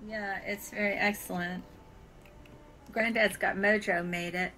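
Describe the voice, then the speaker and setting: A woman's voice: medium pitch, fairly even intonation, a little slow, sharp tone, soft background music. A woman from California with an American accent, chatting informally and chuckling as she gives a tour of a world she built. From California, USA. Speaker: virtual world builder giving a tour. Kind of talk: casual tour chat.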